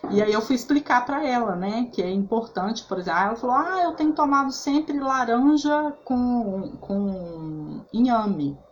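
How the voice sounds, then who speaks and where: A woman's voice: a little high, measured pace, highs cut off, slight background noise. A woman therapist explaining food and emotions in a chatty, conversational way, often checking in with "né?" and "tá?" and restarting her sentences. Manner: chatty, frequent "né" and "tá" tags, restarts. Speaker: holistic therapist. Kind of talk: casual explanatory talk.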